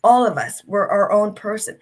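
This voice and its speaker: A woman's voice: mid-range voice, animated, normal speed, a little mellow. A middle-aged woman, an author and speaker, telling her story as an interview guest in an earnest, reflective way.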